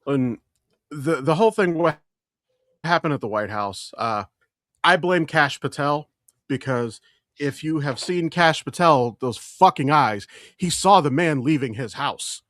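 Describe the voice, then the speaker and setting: A man's voice: on the high side, animated, measured pace, a bit bright, no echo, clean studio sound. A man co-hosting a podcast, talking casually and opinionatedly in a blunt, profane way. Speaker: podcast co-host.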